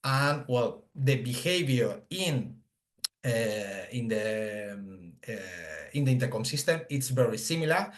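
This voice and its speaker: A man gives an unscripted webinar product demonstration, halting and searching for words, on a video call. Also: mid-range voice, lively intonation. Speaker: product presenter. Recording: echoey room on a video call.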